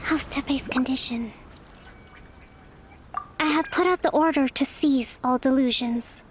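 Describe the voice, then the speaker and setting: An actress playing a young, thoughtful female military leader in a video game, soft and measured in delivery. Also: sits high, noisy background. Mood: grave, concerned. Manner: measured, formal, deliberate. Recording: music behind the voice.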